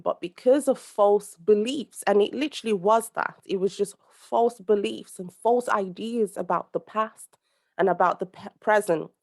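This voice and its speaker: A woman's voice: mid-range voice, darkish tone. A young adult woman from the UK, a guest speaking earnestly over a call, rambling with many fillers and restarts. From United Kingdom. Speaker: podcast guest, social commentator. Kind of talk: conversational interview over a call.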